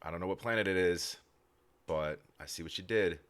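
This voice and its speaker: A man's voice: sits low, fairly quick, fairly bright tone. A man hosting a reaction video, dropping playful, joking comments between clips.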